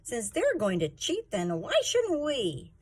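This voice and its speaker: Fed-up young storybook kid, emphatic and protesting, raised pitch with a husky edge woman narrator playing the part. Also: wildly swooping pitch, slow, full character voice. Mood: indignant. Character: indignant young Frusel child, fed up with cheaters. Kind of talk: storybook character voice read aloud.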